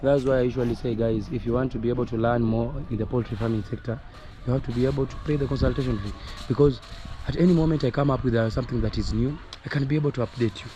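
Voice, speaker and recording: Hoarse Kenyan farmer, earnest, repetitive coach; mid-pitched voice, roosters and farm noise behind. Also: male voice, fairly even intonation, quick pace, dark tone. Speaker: poultry farmer. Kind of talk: casual instructional vlog. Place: outdoors on a poultry farm. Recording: noisy outdoor recording with roosters crowing.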